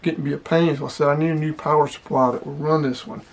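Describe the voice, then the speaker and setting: A man's voice: fairly high pitch, flat delivery, rapid-fire. A man casually demonstrating gear as a hobby vlogger, explaining things in a plainspoken way.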